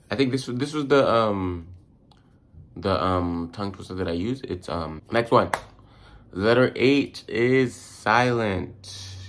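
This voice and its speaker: A man who is a native English speaker hosts a casual language lesson, talking informally with slang and repeating words to demonstrate their sounds. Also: deep voice. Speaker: host of a language tips video. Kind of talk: casual vlog-style pronunciation lesson.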